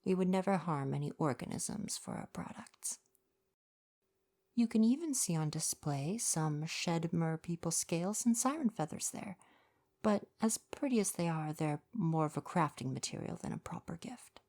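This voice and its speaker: A woman playing a kind young mer person shopkeeper in an ASMR roleplay, speaking softly in polite, articulate, formal retail patter. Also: on the low side, animated, normal speed. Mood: gently informative.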